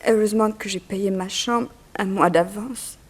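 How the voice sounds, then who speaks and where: A woman's voice: mid-range voice, fairly even intonation, normal speed, husky, natural voice. Actress as a frail, faint young immigrant woman. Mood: weak, plaintive. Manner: faint, hesitant, apologetic, sighing. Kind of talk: 1960s French radio serial acting.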